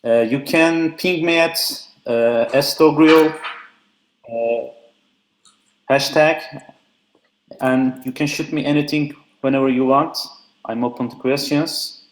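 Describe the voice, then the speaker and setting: Young man, relaxed chatty speaker, lots of filler words, echoey remote call audio. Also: medium pitch, slow pace, long pauses, slight background noise. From Turkey. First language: Turkish. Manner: frequent "uh" fillers, loose and chatty. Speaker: conference speaker. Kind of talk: casual technical talk.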